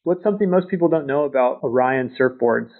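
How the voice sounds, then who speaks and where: A man's voice: medium pitch, lively intonation, brisk. A man interviewing a surfboard shaper, asking short, direct questions one after another. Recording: tinny phone-like line.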